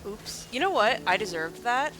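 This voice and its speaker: A woman's voice: on the high side, expressive, normal speed, heavy background noise. A woman livestreaming a video game with casual, wry, rambling commentary, mostly talking to herself. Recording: loud game music behind. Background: loud game music.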